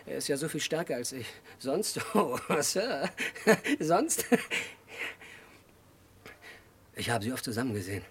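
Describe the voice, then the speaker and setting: A disturbed, childlike killer in a radio crime drama. His voice is husky and medium-pitched. He confesses in short, halting fragments, swinging between hushed and agitated. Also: sing-song, full character voice. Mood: bitter, giggly. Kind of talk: mid-century German radio crime drama acting.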